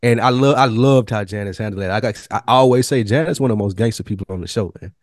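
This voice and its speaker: A man co-hosting a TV review podcast, chatting casually with slangy, animated storytelling. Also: lowish voice, fast talker, dry recording, studio quality. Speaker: podcast co-host. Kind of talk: casual chat.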